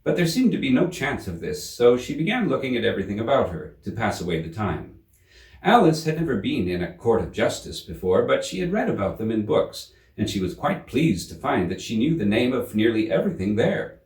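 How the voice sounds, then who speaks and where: A man reading a children's story aloud as an expressive storyteller. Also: mid-range voice, fairly quick. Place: indoor room. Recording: roomy, slightly distant microphone.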